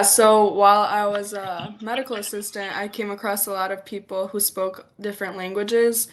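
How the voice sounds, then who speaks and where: A woman in her twenties, a native Polish speaker, answering interview questions as a student in a halting, conversational way with frequent filler "like". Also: mid-range voice. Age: 20s. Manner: hesitant, peppered with "like". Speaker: interview guest, first-year medical student.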